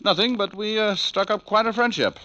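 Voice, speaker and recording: A man's voice: high voice, moderate pace, pushed and bright. An American man playing a cocky, hard-boiled private detective in 1940s radio noir style, glib and sardonic. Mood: flippant. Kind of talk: 1940s radio noir acting with first-person narration. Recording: dull old radio recording with music.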